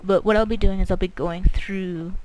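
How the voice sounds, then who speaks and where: A woman hosting a gameplay video in a casual, rambling, halting way, recorded with her mouth very close to the mic. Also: medium pitch, steady tone. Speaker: gamer hosting a video series. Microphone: mouth very close to microphone. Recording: breathy close-mic overload, clicks, faint noise.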